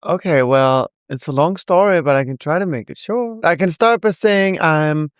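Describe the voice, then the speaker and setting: Danish girl chatting away, laughs a lot, super clear voice, no room sound. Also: deep voice, moderate pace, dry recording, clean studio sound. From Denmark. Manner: chatty, laughs often, rambling asides. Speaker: guest, rapper. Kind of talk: casual interview chat.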